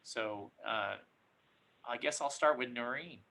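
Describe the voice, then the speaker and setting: Bright-voiced man moderating a panel. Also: medium pitch, takes it slowly, gravelly. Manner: stammering restarts, affirming.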